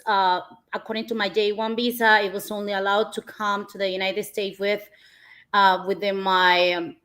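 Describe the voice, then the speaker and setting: A woman's voice: medium pitch, fairly bright tone. A woman from Peru whose first language is Spanish, telling her own story as a guest in a friendly, eager way. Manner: friendly and eager, many fillers.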